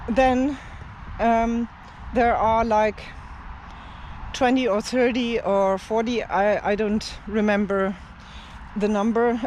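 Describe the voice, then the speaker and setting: Slow, rambling speaker. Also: mid-range voice, a little mellow, a little hiss or hum, rough recording. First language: German. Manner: unhurried and rambling, with many fillers and light laughs. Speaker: language teacher and travel vlogger. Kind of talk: casual talk to camera. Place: outdoors in an open field.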